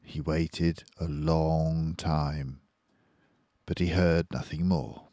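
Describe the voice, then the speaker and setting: Very deep, slow older male storyteller. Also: steady tone, mellow, no echo, studio quality. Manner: measured, careful, suspenseful. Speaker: storybook reader. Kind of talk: expressive children's story narration.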